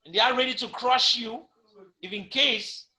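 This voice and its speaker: A man's voice: very high-pitched, moderate pace, sharp tone, rough recording. A man giving an impassioned talk on a talk show, with an emphatic, preaching cadence and much repetition. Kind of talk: impassioned speech to camera.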